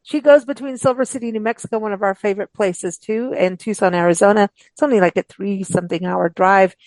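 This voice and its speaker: Chatty lady radio host, mid-pitched voice, rambles along informally, close dry sound. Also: steady tone, a little fast, a little mellow, dry recording, poor audio. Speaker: host of a books radio show. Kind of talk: casual chatty interview.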